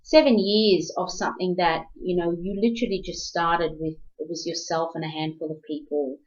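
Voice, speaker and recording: South african woman hosting a chat, lowish voice, lots of ums, kinda muffled audio. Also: steady tone, moderate pace. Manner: rambling, many fillers and restarts. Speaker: host. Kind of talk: casual interview chat.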